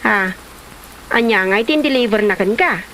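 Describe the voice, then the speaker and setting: A woman's voice: medium pitch, expressive, normal speed, fairly bright tone, natural voice. A woman with an Ilocano Filipino accent playing an exasperated wife, nagging and scolding in a comedy drama. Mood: questioning, nagging. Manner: nagging, exclamatory. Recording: noisy amateur drama recording.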